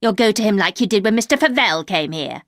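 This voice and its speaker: Woman reader doing a harsh, cold older housekeeper; low, slow and accusing, dry close sound. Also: animated, dry recording, natural voice. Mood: scornful.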